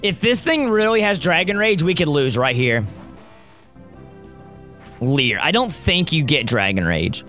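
A young man with a worn, raspy voice commenting on his own gameplay, close to a studio mic at a home setup and cheering on his team loudly. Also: a little high, big pitch swings, a little slow, long pauses. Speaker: gaming YouTuber. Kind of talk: Let's Play commentary. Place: home gaming setup, indoors. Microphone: studio mic close up, seen. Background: faint game music.

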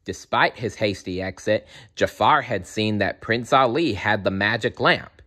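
A man reading a children's storybook aloud in an animated style, with playful asides to the listener. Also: fairly low pitch, measured pace. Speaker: storybook reader.

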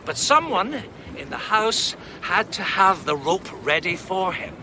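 Amateur detective, high lively voice, deliberate, suspenseful, radio drama. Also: male voice. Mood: challenging. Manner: theatrical, deliberate, building suspense. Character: intense amateur sleuth laying out a murder case. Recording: noisy outdoor boat ambience.

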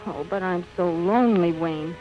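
An actress playing a spoiled, whiny, uneducated social-climbing young wife in 1930s radio drama, wheedling and slangy. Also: female voice, fairly low pitch, normal speed, lightly acted. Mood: needy, coy. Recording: dull old radio recording, faint music.

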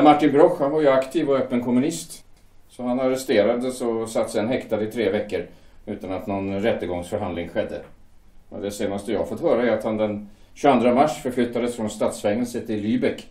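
A dutiful, worried shipyard foreman, played by a man in an audio drama. He speaks hesitantly, with a low voice. The room echoes noticeably. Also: mellow, close to an everyday voice. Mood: grave. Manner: hesitant, defensive. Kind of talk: amateur audio drama acting.

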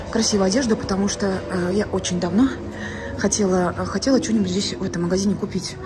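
Chatty Latvian woman vlogging, filler-heavy. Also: fairly low pitch. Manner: chatty, many filler sounds and pauses. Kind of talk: casual vlog talk to camera. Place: inside a car, then a clothing store. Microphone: phone camera held by hand.

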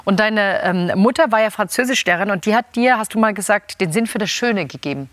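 A woman's voice: medium pitch, fairly quick, a bit bright, no echo. Woman, fifties, TV host, friendly interviewer, tag questions, dry close studio sound. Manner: friendly, attentive, frequent mhm and tag questions. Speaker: talk show host. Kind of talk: talk show interview. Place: TV studio set.

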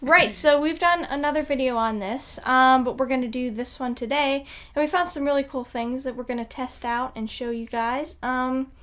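A young woman co-hosting a casual product review at a home desk, her voice a little raspy from just waking up, chatty with many fillers. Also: steady tone, normal speed, hardly any pauses, a bit bright. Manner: chatty, mock infomercial pitch, many fillers. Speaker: co-host.

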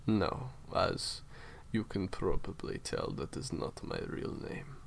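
A man acts out a menacing gentleman villain in a roleplay drama. His voice is low, crackling with fry and sweeping in intonation as he delivers courteous, formal threats. There is faint noise behind him. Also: animated, full character voice. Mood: smug, courteous. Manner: formal, unhurried, theatrically polite threats. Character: menacing, courteous male villain, cold captor. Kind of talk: roleplay audio drama monologue.

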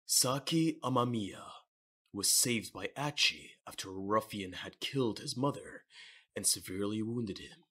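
A man with a husky voice reading scripted dramatic narration for a manga audio drama. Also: fairly low pitch, measured pace, sharp tone.

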